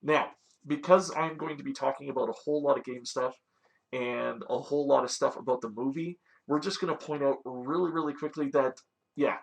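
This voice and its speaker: Gravelly-voiced man hosting a casual review. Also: medium pitch, rough recording. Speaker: host of a video game movie review show. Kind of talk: casual review talk with story recap.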